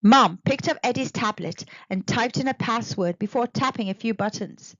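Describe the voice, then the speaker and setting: A woman narrating a children's storybook in an expressive, deliberate read-aloud manner. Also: on the high side, no room sound, dull audio. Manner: animated, deliberate.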